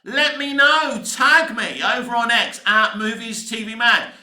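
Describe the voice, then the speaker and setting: An echoey room carries a very high, bright voice. It belongs to a British man in his fifties, ranting casually as a film vlogger. He is emphatic and exasperated, hammering his points over and over. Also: unhurried. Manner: emphatic, exasperated, swearing mildly, repetitive. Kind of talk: opinionated rant to camera, casual.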